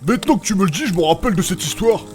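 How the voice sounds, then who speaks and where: A man playing a gruff, foul-mouthed brawler, blunt and mocking, in a fantasy audio drama. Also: sits high, expressive, racing, soft background music. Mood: gruff, sardonic. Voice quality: gravelly. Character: gruff, foul-mouthed, sarcastic male brawler raised among orcs. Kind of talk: French fantasy audio fiction acting.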